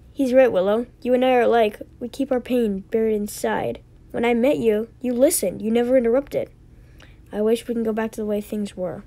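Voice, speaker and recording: A woman voicing characters in an amateur animated story, reading scripted lines and sometimes stumbling over them. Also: fairly high pitch.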